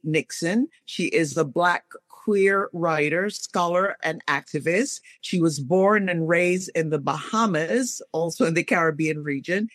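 A man's voice: on the high side, fairly bright tone, no room sound, clean studio sound. A man hosting a current-affairs talk show, commenting and introducing guests earnestly in a conversational way. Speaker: radio show host.

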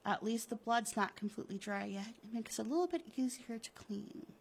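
A woman's voice: a little high, animated, brisk, vocal fry, slightly rough, full character voice. A woman voicing a scheming, henpecked husband from a horror comic, with a stammering, coaxing manner that has a taunting edge. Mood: anxious muttering. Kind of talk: dramatic comic-book reading.

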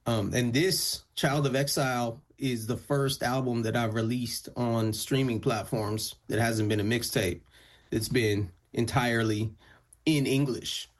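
Guy talking about his music, spanish is his first language, pauses and repeats words a lot, mid voice. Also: mid-range voice, fairly even intonation. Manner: thoughtful, frequent restarts and fillers. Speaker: musician guest. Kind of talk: reflective interview answers.

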